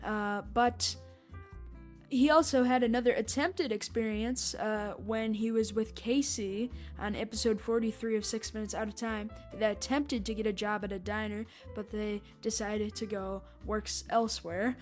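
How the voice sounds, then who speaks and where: A woman's voice: mid-range voice, steady tone, normal speed, darkish tone, faint music behind. A woman giving casual fan-theory commentary as a host, rambling and repeating her points. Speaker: podcast host and commentator reacting to clips.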